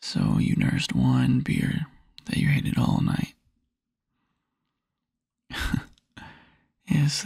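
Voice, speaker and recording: Man playing tender young boyfriend, ASMR roleplay, husky near-whisper, very wide pitch swings, close dry mic, wistful, halting. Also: no echo, exaggerated voice. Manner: soft, halting, intimate murmur with small chuckles. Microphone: close microphone, dry.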